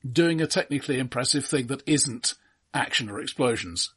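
A man's voice: medium pitch, normal speed, a bit bright, breathy edge. A man in a casual film discussion, talking in a dry, wry, analytical way. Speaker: film podcast co-host.

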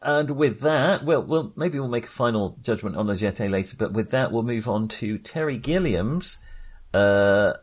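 A man co-hosting a casual film podcast, with a slightly husky voice and lively pitch movement. He talks hesitantly and in a self-deprecating way, thinking aloud as he goes. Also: moderate pace.

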